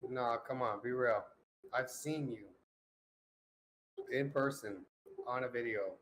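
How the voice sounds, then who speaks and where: A man's voice: mid-range voice, slow pace, fairly bright tone, slight background noise, poor audio. A man in his forties chatting casually, loose and profane, teasing the others with jokes. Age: 40s. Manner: loose, profane, joking banter. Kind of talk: casual group chat.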